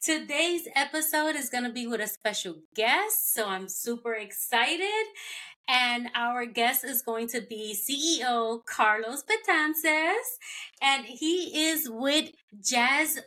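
Bubbly ny-born woman hosting a podcast, high bright voice, laughs a lot. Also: animated. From New York. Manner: bubbly, laughs often, quick agreeing replies. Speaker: podcast host. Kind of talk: casual interview chat.

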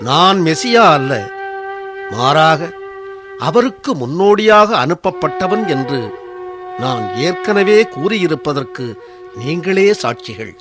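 Slow-speaking, humble prophet, formal and declamatory, lively pitch movement, radio drama. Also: male voice, over music. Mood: solemn, joyful.

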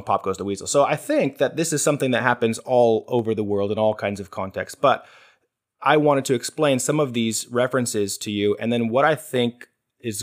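A man with a lowish voice hosts a relaxed talk show. He explains at an even, measured pace and thinks aloud as he goes. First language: English. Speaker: podcast host. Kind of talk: casual explanatory chat.

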